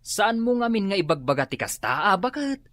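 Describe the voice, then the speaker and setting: An actress in an Ilocano comedy radio drama playing a stubborn drinker who whines and defends the drinking. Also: female voice, mid-range voice, very animated, fairly quick, fairly bright tone, no room sound, clean studio sound.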